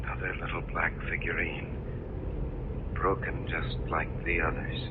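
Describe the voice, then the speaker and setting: Low, brooding radio mystery narrator. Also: male voice, completely flat delivery, unhurried. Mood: brooding, eerie. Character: David, uneasy man haunted by eerie events, narrating. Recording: noisy muffled old radio recording.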